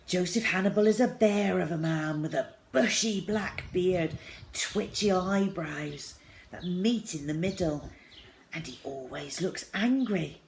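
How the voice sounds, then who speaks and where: Female storyteller, lowish pitch, dramatic emphasis in children's fiction, noticeable background noise with birdsong. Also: unhurried. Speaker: storyteller reading a children's novel aloud. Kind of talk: expressive scripted story narration.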